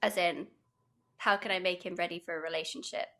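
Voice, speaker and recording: A young British woman talks in a bright, clear voice as a podcast guest. She rambles cheerfully and giggles between thoughts. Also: fairly low pitch, steady tone, a little fast. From United Kingdom. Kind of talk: casual podcast chat, reading aloud.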